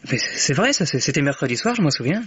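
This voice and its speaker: An actor playing a naive, cocky teenage joyrider in a French radio mystery, stammering and hesitating earnestly. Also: male voice, a little high, sing-song, quick pace, a bit bright, slightly rough, exaggerated voice. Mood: indignant, insistent. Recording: muffled vintage radio recording.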